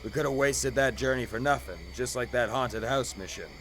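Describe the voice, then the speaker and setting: A man's voice: mid-range voice, moderate pace, fairly bright tone, slight background noise, natural voice. A man playing a cynical, money-minded mercenary in a fantasy audio drama, blunt, colloquial and sarcastic.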